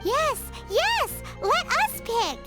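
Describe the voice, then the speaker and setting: A woman voicing a tiny, cheeky, excitable fairy companion with a childlike squeaky voice in a video game. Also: extremely slow, exaggerated voice. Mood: eager. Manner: speaks of herself in the third person, bubbly. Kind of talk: anime-style video game voice acting. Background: music.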